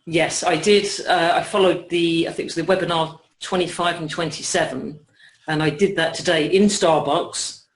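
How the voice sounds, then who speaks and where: A man's voice: fairly high pitch, steady tone, normal speed. A young man describing his pain and his experiences, talking hesitantly with many fillers and restarts. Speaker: client. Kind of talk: conversational interview. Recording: echoey room.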